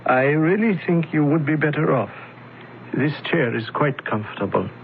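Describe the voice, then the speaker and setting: A smooth, soothing company man. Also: fairly high pitch, animated. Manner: soothing, measured, hesitant. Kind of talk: 1970s radio drama acting. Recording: tinny lo-fi radio broadcast, faint hiss.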